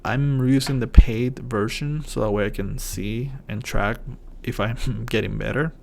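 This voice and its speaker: A man's voice: mid-range voice, a little slow, vocal fry, a little mellow, slight background noise. A man from Puerto Rico with a Puerto Rican Spanish accent, a native Spanish speaker, hosting a casual tech tips vlog in English with many fillers and restarts. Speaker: channel host.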